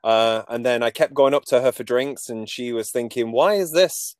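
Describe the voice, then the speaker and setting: A man's voice: mid-range voice, moderate pace, no room sound, studio quality. Manchester-accented man in his forties. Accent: Manchester English. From England. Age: 40s. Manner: jokey, self-deprecating storytelling. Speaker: podcast guest. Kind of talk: casual interview chat.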